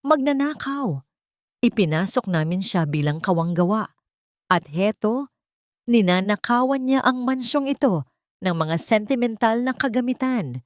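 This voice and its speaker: A spiteful young woman of a wealthy house, as a female storyteller puts her on, accuses. She is sharp and suspicious, with raised pitch. The audiobook sound is dry and close. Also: animated, measured pace, no echo. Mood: furious accusation. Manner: accusing, sharp, loudly declaiming.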